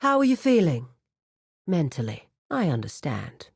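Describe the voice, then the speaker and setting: A woman playing a composed, dutiful lieutenant to a crime boss in a video game, speaking in a measured, formal, businesslike way. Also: sits low, huge pitch swings, creaky, slightly rough, heavily acted. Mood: businesslike, firm. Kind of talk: video game character voice acting.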